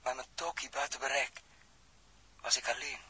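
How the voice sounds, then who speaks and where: An actor playing a grave, brooding stranded astronaut in a radio drama, speaking haltingly and intensely in a husky, breathy voice. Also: male voice, mid-range voice, steady tone, sharp tone, full character voice. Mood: awed, haunted. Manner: halting, intense, pausing between phrases. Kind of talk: 1960s radio drama acting.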